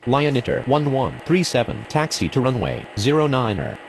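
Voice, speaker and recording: A man with a creaky, gravelly voice delivers aviation radio calls. Faint noise runs behind him. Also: medium pitch, very animated, normal speed. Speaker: pilot and air traffic controller radio voice.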